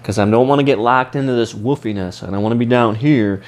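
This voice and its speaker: A man demonstrating and explaining a vocal warm-up, his voice a little rough from a recent sinus infection. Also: medium pitch, quick pace. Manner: explains while demonstrating sung vowels. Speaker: singing teacher.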